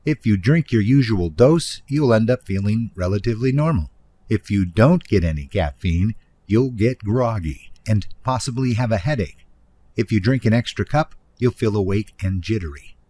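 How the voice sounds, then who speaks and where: A man's voice: lowish voice, animated, normal speed, warm tone, breathy edge. A man reading scripted nonfiction narration in an explanatory manner, speaking directly to the listener. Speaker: audiobook narrator, author reading own book.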